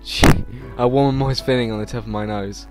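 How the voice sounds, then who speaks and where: A man's voice: medium pitch, steady tone, moderate pace. A man hosting a let's play, reading visual novel text aloud informally, stumbling over words and adding casual reactions. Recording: game music behind the voice.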